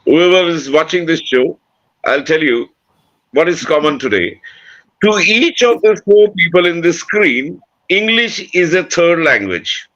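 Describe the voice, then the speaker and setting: An Indian man, native in Bengali, speaks unscripted on a talk panel. His voice is high and sharp, with emphatic repeats and self-answered questions. The sound is dull and lo-fi, like a video call. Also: animated, a little slow. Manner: emphatic, repeats phrases, poses questions then answers them.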